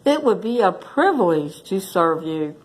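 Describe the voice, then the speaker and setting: A woman's voice: lowish voice, big pitch swings, a little slow. A woman voicing a kind, humble lion king in a storybook, reassuring and earnest. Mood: touched, humble.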